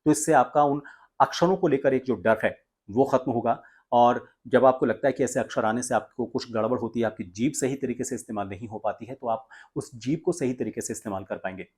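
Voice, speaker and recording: A man's voice: medium pitch, fairly quick, a little mellow, husky. A man from India presenting an instructional tutorial as a voice coach, explaining exercises step by step.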